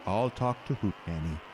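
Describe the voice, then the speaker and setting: Low-voiced, flustered old Santa played by a man. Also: noisy background. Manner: hesitant, stammering. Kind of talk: audio drama acting.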